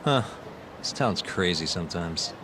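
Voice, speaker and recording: A man's voice: deep voice, animated, a little slow, noisy background. An actor playing a cool, dogged young male private investigator in a video game, terse, dry and probing. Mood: dry, determined. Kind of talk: video game cutscene dialogue.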